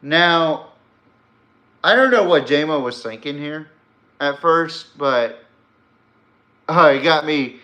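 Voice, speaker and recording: A man's voice: fairly high pitch, animated, takes it slowly, long pauses, sharp tone. An American man hosting a podcast, giving casual, opinionated sports commentary in an informal way.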